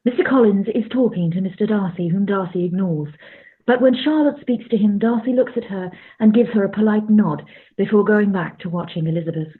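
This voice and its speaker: A woman's voice: mid-range voice, brisk, breathy edge, close to an everyday voice. A woman narrating a scripted period radio play as a neutral storyteller, on a tinny recording.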